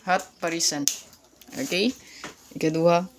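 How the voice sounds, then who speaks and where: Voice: mid-range voice, steady tone, a little slow. A vlogger with a Visayan Filipino accent explains things casually and informally, repeating key words and addressing viewers politely. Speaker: vlogger giving number tips. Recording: clearly noisy amateur recording.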